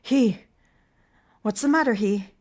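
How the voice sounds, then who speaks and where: A woman's voice: mid-range voice, measured pace, breathy edge. A woman reading as a grieving, tender clown. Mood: anguished. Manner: anguished, then hushed and soothing.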